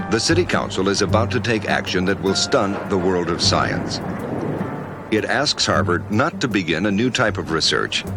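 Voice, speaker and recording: A man narrating a 1970s documentary in a dramatic, announcing manner. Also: low-pitched.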